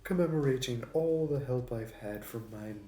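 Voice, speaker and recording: A man's voice: mid-range voice, dark tone. A man playing a suave, smug supervillain in an audio roleplay, with a mocking, flirty, theatrical delivery. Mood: mocking, amused. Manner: theatrical, taunting, drawn-out delivery. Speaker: voice actor. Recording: roomy echo, distant mic, music behind.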